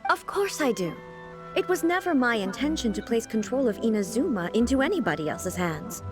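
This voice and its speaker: A woman playing a dignified, earnest, naively puzzled ruler in a video game, speaking in a formal, deliberate way. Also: a little high, very animated. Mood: resolute. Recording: music playing behind the voice.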